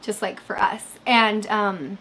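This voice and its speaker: A woman in her thirties, slightly hoarse, chatting casually with viewers on a livestream from her bed, with lots of fillers. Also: mid-range voice, steady tone, slow, pushed and bright, rough recording. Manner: chatty, reads chat aloud, many fillers. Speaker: livestream host. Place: bedroom, sitting in bed. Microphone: webcam framing.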